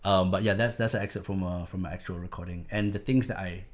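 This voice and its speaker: Animated Singaporean man, low voice. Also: fast. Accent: Singaporean English. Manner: animated, frank, many restarts and fillers. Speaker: musician guest. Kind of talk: casual interview chat.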